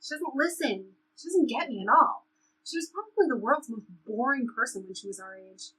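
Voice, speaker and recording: A woman's voice: high voice, animated, a little fast, a bit bright, slightly rough, exaggerated voice, low-quality recording. A woman playing a frustrated, sarcastic seventeen-year-old girl in an audio play, speaking emphatically and conversationally. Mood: indignant. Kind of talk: audio play reading, conversational teen dialogue.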